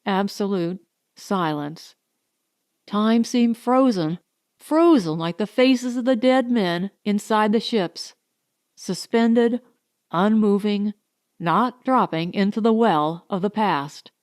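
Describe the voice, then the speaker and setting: Slow, suspenseful woman narrator, dry sound. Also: medium pitch, darkish tone, dry recording. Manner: deliberate, suspenseful, short weighted phrases. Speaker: audiobook narrator. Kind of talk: scripted dramatic narration of a science fiction story.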